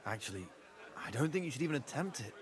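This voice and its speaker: A man's voice: medium pitch, big pitch swings, quick pace, lightly acted. An actor playing a dutiful, proud young nobleman, a younger son, speaking formally and earnestly in medieval game dialogue.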